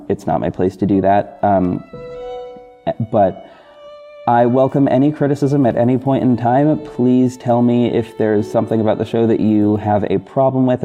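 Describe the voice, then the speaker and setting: A man's voice: on the low side, dark tone, dull audio. A man chatting casually to his listeners as a podcast host, with an effusive, gushing, informal manner. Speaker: podcast host and audio drama creator. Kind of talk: casual solo chat to listeners over background music. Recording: music bed behind the voice. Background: music.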